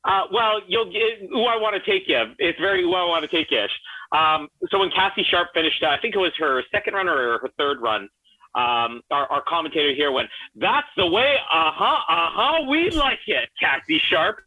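A man's voice: fairly high pitch, moderate pace, sharp tone, no echo, low-quality recording. A man co-hosting a podcast, telling wry stories in casual chat. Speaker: podcast co-host.

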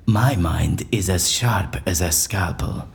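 An actor playing an eccentric, unflappable surgeon in an audio drama, with a formal, theatrical and dryly dismissive manner. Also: male voice, sits low, slow, gravelly, heavily acted. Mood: nonchalant, dry.

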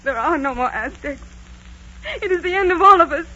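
An actress playing a noble, steadfast young Aztec princess in earnest 1940s radio acting style. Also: female voice, sits high, expressive, a little fast, sharp tone. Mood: grieving, resigned. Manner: earnest, solemn, theatrical. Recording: muffled 1940s radio recording, faint noise.

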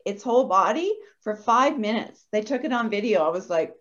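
A woman talking as a podcast guest, telling stories in a chatty, animated way. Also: medium pitch, fairly quick. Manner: chatty, animated anecdote teller. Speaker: guest, energy healer. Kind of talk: casual podcast interview storytelling. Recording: dull lo-fi audio.

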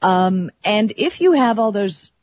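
A woman's voice: medium pitch, animated, a little slow, a little mellow, no echo, highs cut off. A woman co-hosting a radio show, talking about tech in a chatty, informal, casual way. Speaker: radio co-host.

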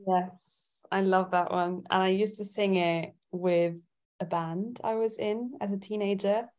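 A woman from Italy chatting casually as an interview guest, thinking out loud with hesitant phrasing. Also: mid-range voice, fairly even intonation, unhurried. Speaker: singer-songwriter guest.

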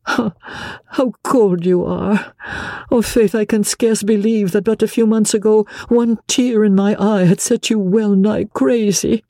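A man's voice: sits high, steady tone, dark tone, no echo, clean studio sound, voice pushed up. A man voicing a tearful pleading noblewoman. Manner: breathless, pleading, broken by halting repeats.

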